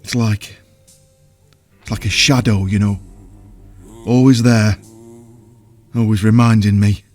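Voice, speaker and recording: An actor playing a huge, simple, childlike potion brewer in an audio drama, with rustic folksy speech and a halting, earnest, wounded manner. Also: male voice, on the low side, fairly even intonation, darkish tone, faint music behind. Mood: melancholy. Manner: halting, plain-spoken, earnest. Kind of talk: audio drama character acting.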